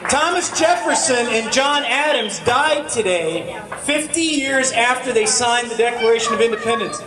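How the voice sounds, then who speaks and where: A man's voice: extremely high pitch, animated, normal speed. An American man reciting and speaking publicly to a gathering. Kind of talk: public recitation and address to a crowd. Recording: echoey, distant mic amid crowd noise.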